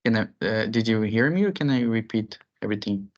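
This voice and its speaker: A man from Brazil, a student, introducing himself haltingly on a video call. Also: lowish voice, animated, lo-fi sound. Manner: halting, with fillers. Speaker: student panelist.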